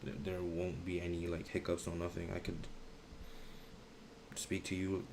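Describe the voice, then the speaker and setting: A young man speaks in a deep, low register during a relaxed live stream chat. His talk is slangy and halting, full of restarts as he searches for what to say. A faint hiss of noise sits beneath the voice.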